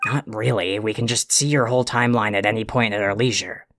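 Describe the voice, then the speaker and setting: An actor performs a cranky alien troll for an audio drama. The voice is gravelly and full of creak. The delivery is bossy, pompous and scathing, like someone forever fed up. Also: mid-range voice, fairly even intonation, quick pace, over music, natural voice. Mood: irritated, scolding. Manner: ranting, insulting, pompously wordy. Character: irritable, foul-mouthed, bossy alien troll, self-important.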